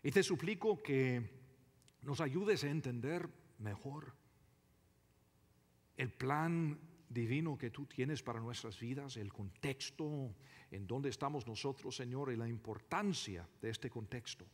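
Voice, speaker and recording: A man preaching a sermon as a pastor, emphatic and deliberate, often repeating his phrases. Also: mid-range voice, breathy edge. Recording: reverberant hall with long echo.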